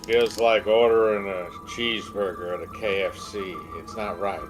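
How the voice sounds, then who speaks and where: A male game streamer with a fairly low voice, joking around casually. Loud soundtrack music plays under his laid-back banter. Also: steady tone, moderate pace.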